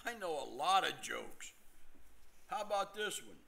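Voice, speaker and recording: A man's voice: high voice, very animated, unhurried, gravelly, pushed and bright, heavily acted. An older man playing a droll, wisecracking nephew in a staged play reading. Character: wisecracking, droll joke-loving nephew Jim.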